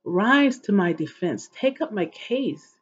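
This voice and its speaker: Middle-aged female devotional reader, slow and solemn, husky tone, dull home webcam sound. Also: mid-range voice, expressive. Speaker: host of an inspirational reading series. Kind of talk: devotional scripture reading aloud. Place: living room at home, indoors.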